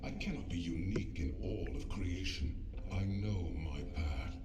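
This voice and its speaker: An actor playing a grave, weary male angel in a game, speaking in a measured, formal, brooding way. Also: sits low, noisy background. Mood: resolved. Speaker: game character. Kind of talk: solemn game voice-over monologue. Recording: roomy distant game audio under loud music.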